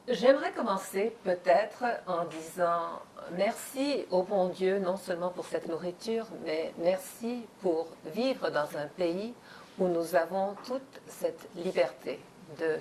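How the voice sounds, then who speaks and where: Woman host talking real slow, lowish voice, picking her words, faint dish noise. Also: darkish tone. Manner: slow and measured, searching for words. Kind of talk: hosted interview. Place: dinner table in a home.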